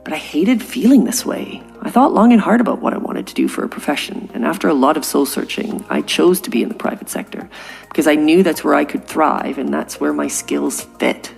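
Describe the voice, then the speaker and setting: A woman's voice: deep voice, expressive, brisk, gravelly, darkish tone, soft background music. A Canadian woman in her forties hosting a podcast, telling a personal story in an earnest, reflective way. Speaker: podcast host. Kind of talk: scripted podcast monologue.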